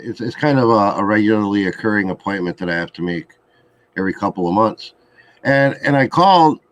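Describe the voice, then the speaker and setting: Muffled Wisconsin man chatting casually. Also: mid-range voice. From Wisconsin, United States. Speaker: co-host of a live chat show. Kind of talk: casual chat, anecdotes. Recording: muffled lo-fi, faint background noise.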